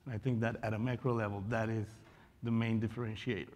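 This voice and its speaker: Low-voiced man speaking hesitantly in a hall. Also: little pitch movement, a little mellow. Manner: measured, hesitant, many fillers. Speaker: nonprofit director, panelist. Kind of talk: conference panel answer. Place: indoor conference stage with audience.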